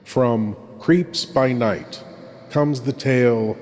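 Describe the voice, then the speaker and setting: Ghoulish lord of a horror show, acted by a man: solemn, intimate, unhurried, theatrical pauses, voice echoing long. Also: medium pitch, darkish tone. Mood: ominous, portentous. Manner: slow, portentous, dramatic pauses. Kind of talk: theatrical horror anthology introduction. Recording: long cavernous reverb.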